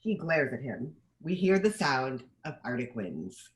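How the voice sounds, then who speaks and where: A woman reads screenplay stage directions as scripted narration, with a lively, varied pitch. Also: measured pace, poor audio.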